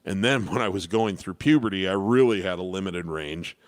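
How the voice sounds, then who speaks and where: A man with a deep baritone talks through piano questions in an easygoing, meandering way, often restarting his sentences. His voice is smooth and resonant, and the sound is close and dry with no room around it. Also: a little fast, no echo. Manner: relaxed, rambling with restarts and fillers. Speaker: piano teacher hosting live Q&A. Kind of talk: casual live-stream teaching.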